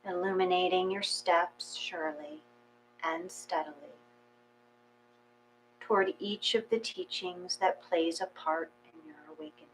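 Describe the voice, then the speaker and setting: Slow, calm woman guiding meditation. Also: fairly low pitch, soft background music, rough recording. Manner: slow, calm, measured reading with long pauses. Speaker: meditation guide. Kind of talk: guided meditation reading. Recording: faint mains hum.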